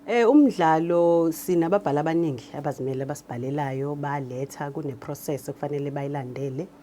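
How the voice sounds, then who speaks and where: A woman's voice: low-pitched, fast talker, warm tone, slight background noise. A South African woman, a native Zulu speaker, explaining her work as a drama producer in an interview. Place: radio drama studio.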